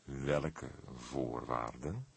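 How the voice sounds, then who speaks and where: A man voicing a calm older police detective in an audiobook, probing in a hesitant, deliberate, quietly sceptical way. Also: extremely low pitch, creaky, slightly rough, dry recording, voice pushed down, heavily acted. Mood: puzzled.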